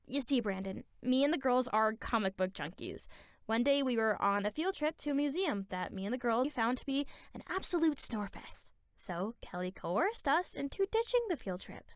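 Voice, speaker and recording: A woman's voice: a little high, very animated, normal speed, clean tone, dry recording, clean studio sound. A woman voicing a conscientious, chatty superhero girl who explains things earnestly in a cartoon. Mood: earnest explaining. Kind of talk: cartoon voice acting.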